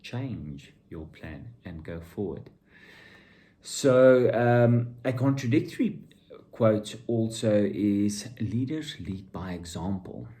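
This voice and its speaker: A man's voice: fairly low pitch, animated, slow, mellow. A middle-aged man giving an informal motivational monologue to viewers, speaking bluntly and with emphatic, opinionated repetition. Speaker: vlogger and entrepreneur giving motivational talk.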